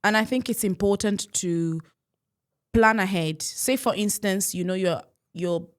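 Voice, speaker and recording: A woman's voice: mid-range voice, fairly even intonation, unhurried. A woman in her thirties from Italy, co-hosting a casual podcast and earnestly giving practical advice. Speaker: podcast co-host.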